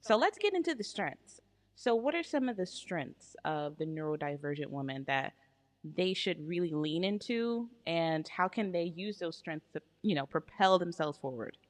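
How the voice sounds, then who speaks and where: A woman hosting a podcast, asking her guest questions in a warm, encouraging way. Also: on the low side, expressive, moderate pace. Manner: warm, encouraging, asks questions with gentle restarts. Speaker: podcast host. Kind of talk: interviewing a guest.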